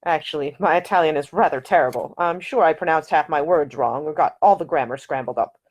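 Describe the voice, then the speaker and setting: A woman voicing a charming young gentleman who talks in a playful, gallant, gently teasing way. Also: low voice, a little fast.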